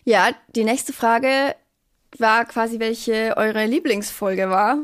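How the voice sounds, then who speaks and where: A woman co-hosting a podcast, a singer with acting training, talking in a relaxed, reflective way and laughing easily. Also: fairly high pitch, takes it slowly, clear, resonant tone. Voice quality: trained actor's voice. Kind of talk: casual podcast chat.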